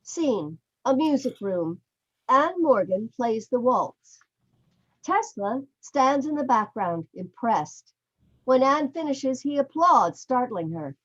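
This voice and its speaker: A woman's voice: high-pitched, sing-song, slow pace. A woman reading stage directions as scripted narration for an online play reading. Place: indoors, remote online reading. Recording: dull lo-fi video call.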